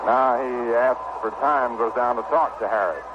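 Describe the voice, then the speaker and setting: A man calling baseball play-by-play on 1960s radio in terse, clipped phrases with pauses between pitches. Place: ballpark broadcast booth. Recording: noisy muffled vintage AM radio broadcast.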